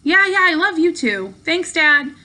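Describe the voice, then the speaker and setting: A woman's voice: very high-pitched, very animated, a little slow, pushed and bright, pitched above the performer's own voice, exaggerated voice. A woman voicing a bold, breezy, sarcastic young teen girl in a storybook read-aloud. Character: bold, flippant young teen girl, mischievous hidden child.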